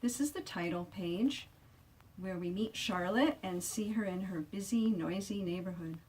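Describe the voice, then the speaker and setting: A woman author reads her children's picture book aloud expressively and deliberately, drawing out descriptive words for emphasis. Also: fairly low pitch, a little mellow, distant mic, a little hiss or hum, low-quality recording.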